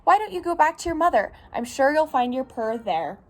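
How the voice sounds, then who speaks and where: Woman doing exclaiming, high-pitched storybook barnyard animals. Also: sing-song, a little fast. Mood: chiding, kindly. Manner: exclaimed animal calls, then helpful replies. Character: barnyard animals, noisy but kindly, calling their sounds. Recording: clear background noise outdoors.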